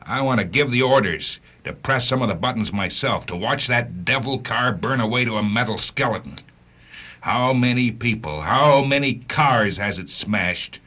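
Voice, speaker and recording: A man acting a driven, vengeful hunter in a radio drama, with an intense, emphatic and sometimes halting delivery. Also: mid-range voice, lively intonation, sharp tone. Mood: fierce, obsessed. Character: driven, vengeful male hunter of rogue cars.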